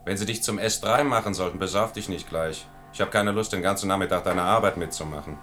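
Grumbly teasing coworker, low but sharp voice, quick talker, old radio play. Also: male voice, faint music behind. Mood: teasing, grumbling. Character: grumbling but friendly male programmer colleague. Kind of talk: 1960s-90s German science-fiction radio drama acting.

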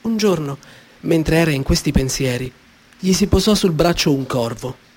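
A man reading his own children's story aloud in a gentle, measured and expressive way. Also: on the high side. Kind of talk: storybook narration.

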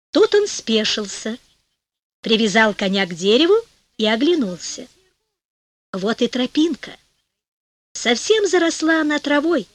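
Lively high-voiced woman narrating a fairy tale. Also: no echo. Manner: lively, voices the fairies and birds with raised pitch. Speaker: audiobook narrator. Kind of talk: storybook narration for children. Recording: dry studio recording.

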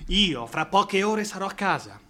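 An eager affectionate young friend, radio play, higher bright voice, very emphatic. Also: male voice, lively intonation, measured pace, heavily acted. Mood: coaxing, affectionate. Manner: theatrical, emphatic, exhorting. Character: excitable, affectionate young man, fussing over his anxious friend. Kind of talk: Italian radio drama acting.

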